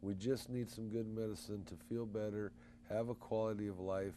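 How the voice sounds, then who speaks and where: Male co-host, low mellow voice, folksy and animated, faint hum. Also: moderate pace. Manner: animated, folksy, preachy promoting. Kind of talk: casual call-in chat.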